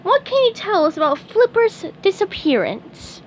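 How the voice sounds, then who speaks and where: A woman voicing an excitable young male sea sponge fry cook in a storybook read-aloud, shrill and overexcited. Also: moderate pace, a little hiss or hum, low-quality recording. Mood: eager.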